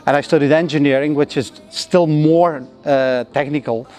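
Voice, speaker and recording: A middle-aged man from Belgium who speaks with a Flemish accent and has Flemish as his first language, answering interview questions thoughtfully with frequent pauses and non-native phrasing. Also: fairly high pitch, steady tone, a little mellow. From Belgium (Flanders). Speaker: interviewee, entrepreneur.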